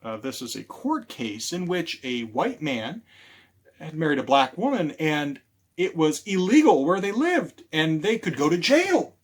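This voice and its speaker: Higher-voiced man telling stories at storytime. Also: unhurried. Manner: animated, repeats key phrases, addresses listeners directly. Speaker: children's librarian and storyteller. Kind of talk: storytime talk and storytelling for children.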